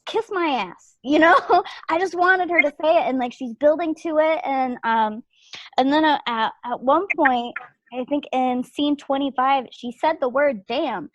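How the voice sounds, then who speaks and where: Woman in an online group chat. Higher-pitched voice, casual, dry but slightly muffled call audio. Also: unhurried, no echo. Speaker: participant giving script feedback. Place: indoors on a video call. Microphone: video call microphone.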